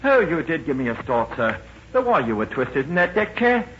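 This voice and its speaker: Fussy servile steward, formal, high-pitched, apologetic, radio play, muffled old recording. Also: male voice, very animated, normal speed, fairly bright tone, slight background noise. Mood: fussy, deferential. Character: polite, deferential, fussy male ship's steward. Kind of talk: 1940s radio acting.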